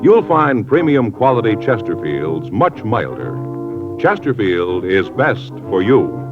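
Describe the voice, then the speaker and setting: A man announcing on a 1950s radio program, with a booming, emphatic delivery. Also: sing-song, slow. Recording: dull lo-fi 1950s radio transcription with music.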